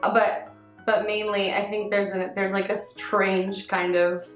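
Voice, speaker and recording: A woman's voice: medium pitch, little pitch movement, measured pace. Woman chatting in an echoey room. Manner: informal, hedging, frequent "like" and "I mean". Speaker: review show co-host. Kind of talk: casual panel review chat. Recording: roomy echo with faint music.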